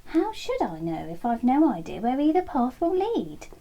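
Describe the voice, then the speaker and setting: A woman's voice: high voice, wildly swooping pitch, normal speed, mellow, dull audio, exaggerated voice. A woman voicing a young, curious male elephant who asks eager, puzzled questions.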